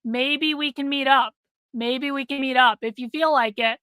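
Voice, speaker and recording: A woman's voice: sits high, steady tone, normal speed, fairly bright tone, no room sound, clean studio sound. A middle-aged woman hosting a livestream, talking bluntly to her chat, swearing freely and repeating herself for emphasis while losing her voice. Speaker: livestream host. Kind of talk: casual livestream talk to chat.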